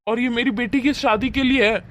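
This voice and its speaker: A man voicing a poor old village farmer, a caring but wary father, in an animated moral story. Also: very high-pitched, a little fast, slight background noise. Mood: firm, worried.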